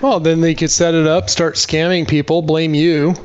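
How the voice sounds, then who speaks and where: A man's voice: on the high side, flat delivery, normal speed, highs cut off, slight background noise. A man chatting casually as a podcast co-host, with a wry, sardonic manner.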